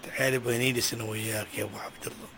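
Actor playing a broke, fed-up dad; high, bright, quick-talking, loud complaints. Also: male voice, expressive, soft background music. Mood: lamenting. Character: poor, harried father of nine. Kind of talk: comedy sketch acting.

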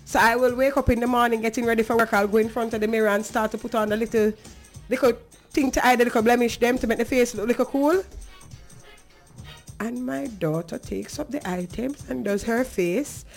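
High-voiced, emphatic Jamaican woman. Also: brisk, gravelly, soft background music. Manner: earnest, emphatic, conversational. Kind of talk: advocacy talk on a talk show, slipping into patois.

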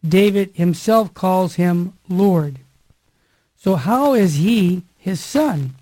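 A man hosting a live radio show, with a loud voice, also reading scripture aloud. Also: high-pitched, expressive. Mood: solemn reading. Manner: loud voice, reads scripture slowly and solemnly.

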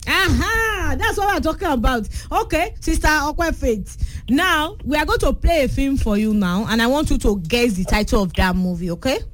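A woman's voice: high voice, lively intonation, moderate pace, a little hiss or hum. A Nigerian woman hosting a radio phone-in game, teasing her callers playfully. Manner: teasing, playful, mixes English and Yoruba. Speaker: radio call-in host.

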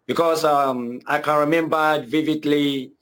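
A man's voice: fairly high pitch, steady tone, measured pace, fairly bright tone, clear, resonant tone. A young man with a Nigerian accent telling his own story earnestly, hesitating and repeating himself often. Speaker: guest telling his conversion testimony. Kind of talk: personal testimony.

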